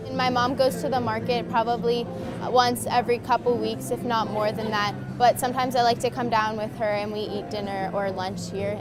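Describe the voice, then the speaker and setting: A teenage girl in eleventh grade, born in the United States, talking fluently and easily about her family's market. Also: on the high side. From born in the United States, family from Lebanon. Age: teens. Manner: fluent, relaxed, clear. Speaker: student showing her community. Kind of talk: casual documentary talk. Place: market and grill, indoors.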